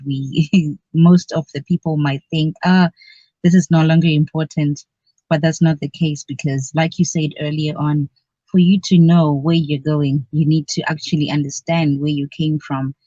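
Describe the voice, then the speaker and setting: A woman's voice: sits low, mellow, dry recording. Woman, radio guest, chatty, laughs often, dry close sound, a bit muffled. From Zimbabwe. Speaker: guest, cultural advocate. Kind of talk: conversational radio interview.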